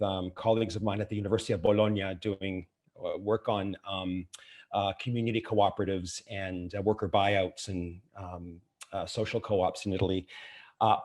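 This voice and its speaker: A man's voice: lowish voice, a little mellow. A middle-aged man from Argentina giving a conference keynote over a video call, hesitant, with many fillers and restarts. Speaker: keynote speaker. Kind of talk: online conference keynote talk.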